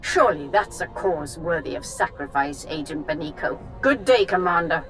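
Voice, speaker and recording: A woman's voice: deep voice, expressive, normal speed, a bit bright, soft background music. A woman playing an imperious, defiant villainous empress in a video game, haughty and theatrical. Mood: haughty defiance. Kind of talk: cinematic game dialogue.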